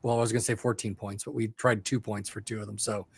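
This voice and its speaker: A man's voice: on the low side, little pitch movement, fast talker, vocal fry, breathy edge, no room sound. A man co-hosting a sports podcast with casual, wry, joking banter. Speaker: podcast co-host.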